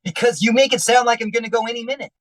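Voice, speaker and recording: An actor playing a grumpy, nervous husband in a 1940s-style radio comedy, sarcastic and grumbling with quick comebacks. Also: male voice, extremely high pitch, distant mic, background noise. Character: grumpy, nervous middle-aged husband before surgery.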